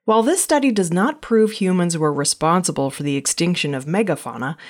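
A woman with a slightly low voice narrates news from a script. Her delivery is measured and formal, like a news reader presenting a weekly round-up of reports.

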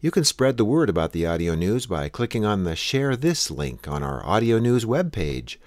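A man's voice: fairly low pitch, sing-song, moderate pace, no echo, studio quality. A man working as an announcer, reading a scripted programme announcement.

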